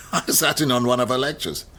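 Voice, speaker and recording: A man's voice: mid-range voice, normal speed, sharp tone, slight background noise, close to an everyday voice. A man acting in a radio drama as a proud, embattled middle-aged university lecturer, defensive and remorseful, with an intense, articulate, rhetorical delivery. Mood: indignant, scornful.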